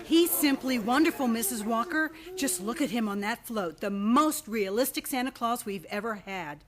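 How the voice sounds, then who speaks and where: A woman's voice: fairly high pitch, animated, normal speed, crowd noise, natural voice. A woman playing an effusive, admiring department store publicity worker in an old-time radio play. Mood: gushing. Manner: effusive, chatty.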